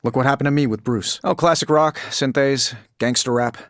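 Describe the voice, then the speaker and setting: An actor playing a wisecracking, self-assured genius inventor superhero with an American accent in a video game. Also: male voice, medium pitch, fairly even intonation, moderate pace, a bit bright, dry recording, clean studio sound, close to an everyday voice. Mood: earnest. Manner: glib, sarcastic, self-assured. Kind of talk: video game character voice lines.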